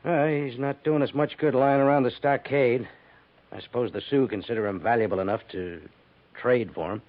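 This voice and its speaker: An actor playing a stern, harried army major with an official, deliberate delivery in a 1950s radio western. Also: male voice, mid-range voice, steady tone, lightly acted. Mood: troubled. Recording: dull 1950s radio recording.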